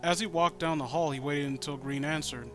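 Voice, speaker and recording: A man's voice: fairly high pitch, fairly even intonation, brisk, music in the background. A man reading a story aloud with exasperated, incredulous, rambling and sweary commentary. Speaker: host reading and critiquing amateur horror fan fiction.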